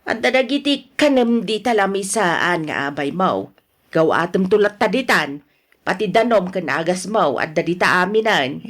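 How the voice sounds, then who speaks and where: A woman with an Ilocano accent playing a worried, fed-up, sharp-tongued wife in a radio drama. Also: lowish voice, animated, normal speed. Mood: scolding, defiant.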